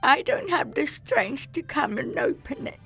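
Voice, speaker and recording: A woman voicing a frail, kindly old grandmother with a creaky voice in a children's fairy tale. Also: high voice, big pitch swings, unhurried, a bit bright, soft background music, pitched above the performer's own voice, exaggerated voice. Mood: weak, welcoming.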